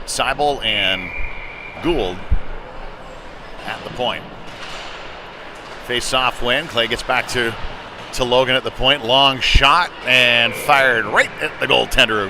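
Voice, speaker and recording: A man's voice: mid-range voice, a little slow, pauses often. A man calling a youth-league style hockey game live, as a play-by-play commentator following the puck. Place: indoor ice rink during a game. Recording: noisy arena, clatter and hum behind.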